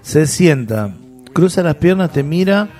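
A man's voice: fairly high pitch, lively intonation, unhurried, warm tone, soft background music. A man on a comedic radio show, joking and breaking in with questions. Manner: joking, interrupting, asks mock questions.

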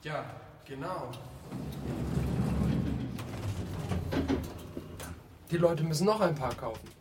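A man's voice: fairly high pitch, expressive, fairly quick, heavy background noise, natural voice. An actor playing a curious, mildly sceptical man who asks short probing questions and gives dry replies in a radio play. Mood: engaged, sceptical. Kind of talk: German radio play, conversational acting.

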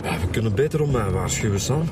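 An actor playing a blunt, sardonic police detective in a radio drama. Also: male voice, fairly low pitch, animated, fast, natural voice. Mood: irritated. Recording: loud street and vehicle noise.

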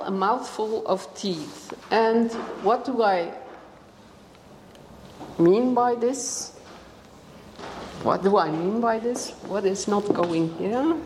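A woman's voice: on the high side, a little mellow. Echoey Dutch-accented woman giving a lecture. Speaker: academic guest lecturer. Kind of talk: scientific lecture to a broad audience. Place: large indoor lecture room. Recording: echoey lecture hall with long reverb, background noise.

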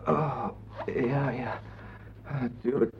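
A man's voice: fairly even intonation, extremely slow, gravelly. An actor playing a dazed, apologetic man just woken from a nightmare in a radio play, speaking hesitantly. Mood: shaken, grateful. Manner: hesitant, faltering. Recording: dull, faintly noisy, rumbling radio recording.

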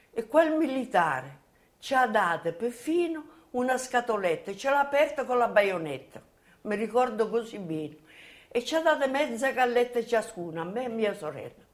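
An elderly woman recounting her memories as personal testimony, halting and often repeating herself as she goes. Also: fairly high pitch. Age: 80s or older. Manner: halting, repetitive storytelling. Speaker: witness giving video testimony. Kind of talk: personal reminiscence, spoken testimony.

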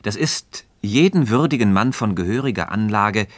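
Actor as a deep-voiced, patient learned mentor. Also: male voice, animated, no echo, lightly acted. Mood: persuasive. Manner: measured, didactic, rhetorical. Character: learned male Freemason friend, patient, earnest, gently ironic teacher. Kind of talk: scripted philosophical dialogue in German radio drama.

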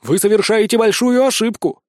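A man's voice: high-pitched, animated, brisk, dark tone, no echo, studio quality, voice pushed up. A man voicing an earnest, hapless soldier hero who pleads his case in a fantasy audiobook. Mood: urgent. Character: earnest, hapless young soldier hero facing angry villagers.

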